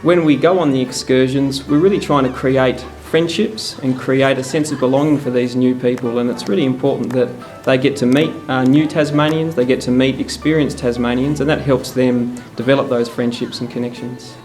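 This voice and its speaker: A man, a parks program officer, explaining clearly in a documentary interview. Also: medium pitch, steady tone, normal speed, few pauses, darkish tone, faint music behind.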